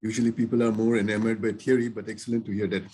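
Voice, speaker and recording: A man with an Indian English accent, hosting an online class as lecturer and speaking in a halting way with many fillers, over a video call. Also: on the low side, little pitch movement, dark tone, husky. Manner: halting, lots of uh and restarts.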